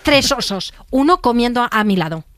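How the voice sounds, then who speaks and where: A woman's voice: a little high, very animated, a little fast, dry recording. A woman chatting casually on a talk show in a comically plaintive way. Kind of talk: casual chat.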